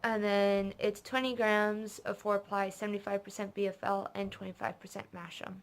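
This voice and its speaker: A young woman from North America hosting a knitting vlog, chatting casually and rambling off on tangents with lots of fillers. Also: mid-range voice. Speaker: knitting podcast host. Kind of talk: casual chat showing purchases.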